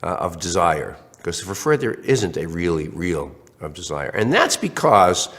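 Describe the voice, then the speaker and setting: Lively lecturing man with a congested cold. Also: low voice, moderate pace. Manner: animated, acts out questions in other voices; many restarts and fillers. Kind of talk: recorded online lecture.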